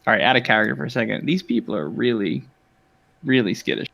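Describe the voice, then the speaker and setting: A man playing a tabletop game, talking smoothly and flatteringly in character. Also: mid-range voice, quick pace, slight background noise. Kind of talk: casual in-character play.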